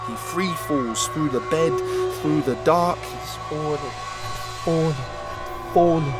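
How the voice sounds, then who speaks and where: A man reading an audio drama aloud as its narrator, in short, measured, dramatic phrases. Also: fairly high pitch.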